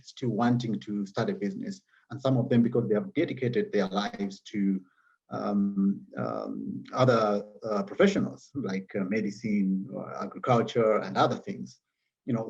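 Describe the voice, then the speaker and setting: A man with a southern African accent explaining his work as an interview guest, in measured, hesitant phrases. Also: fairly low pitch, darkish tone, dull audio. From Zambia and Malawi. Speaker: interview guest, magazine founder.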